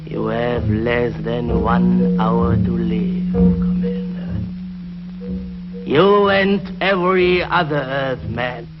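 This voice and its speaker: An actor playing a smug, fanatical alien saboteur in a 1950s science fiction TV show, theatrical and taunting. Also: male voice, medium pitch, animated, takes it slowly. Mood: smug, solemn. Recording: muffled 1950s TV soundtrack with music.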